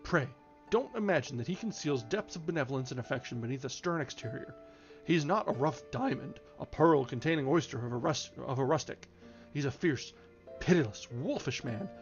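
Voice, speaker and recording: A man voicing an imperious, sharp-tongued married woman from a novel, speaking in haughty, emphatic declamation. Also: a little high, highs cut off.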